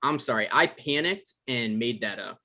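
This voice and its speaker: A man's voice: mid-range voice, expressive, normal speed, bright, forceful tone. A man playing an anxious, apologetic man who gets defensive, stammering naturally as in a live drama table read. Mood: flustered, apologetic.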